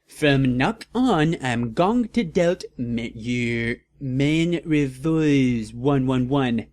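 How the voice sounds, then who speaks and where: A man's voice: medium pitch, animated, extremely slow, darkish tone. A man voicing a defensive, brash teenage girl author reading her petulant notes with stumbling, garbled words. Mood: defensive, irritated.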